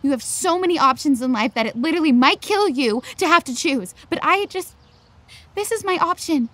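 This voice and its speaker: A woman's voice: high voice, lively intonation, a little fast, a bit bright, dry recording. An actress playing an anxious, defensive teenage girl in a naturalistic audio drama, with a sarcastic, exasperated edge. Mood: angry, bitter.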